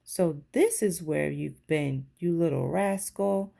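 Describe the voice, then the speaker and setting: A woman plays a loving little girl from a children's storybook, devoted to her toy bear. The voice is soft-toned and slightly creaky, with measured timing. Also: low voice, heavily acted. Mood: fondly scolding. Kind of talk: children's storybook read-aloud.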